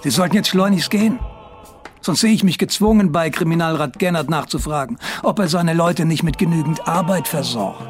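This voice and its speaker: A man's voice: on the high side, brisk, soft background music, natural voice. An actor playing a smug vice-squad policeman, evasive and haughty, in a radio drama. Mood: smug, defensive.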